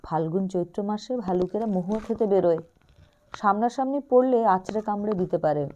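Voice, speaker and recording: Doting older aunt in an audio story, voiced by a woman; coaxing, mellow, warm. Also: medium pitch, brisk, muffled recording, natural voice. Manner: affectionate, coaxing storybook dialogue.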